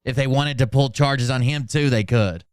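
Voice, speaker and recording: A man's voice: mid-range voice, normal speed, clean tone, dry recording, clean studio sound. A man giving casual, mocking commentary as a channel host, sarcastic and given to swearing. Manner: sarcastic, profane.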